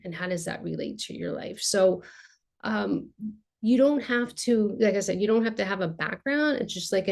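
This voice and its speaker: Gravelly-voiced woman art therapist on a call. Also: mid-range voice. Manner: animated, explains with hand gestures, many fillers. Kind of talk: casual video-call interview. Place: home art studio with shelves. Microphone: video call webcam.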